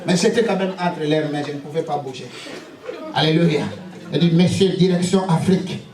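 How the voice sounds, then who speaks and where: A man from Congo preaching a fervent sermon and giving personal testimony, punctuating it with repeated hallelujahs, sometimes shouting, sometimes stumbling and repeating words. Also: fairly high pitch, normal speed, heavy background noise. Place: church. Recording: roomy church hall, mic at a distance, congregation audible. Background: congregation responding.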